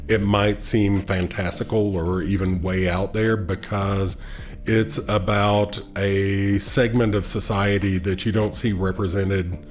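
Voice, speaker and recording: Slow, low-voiced thoughtful man. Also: flat delivery. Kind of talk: reflective interview talk.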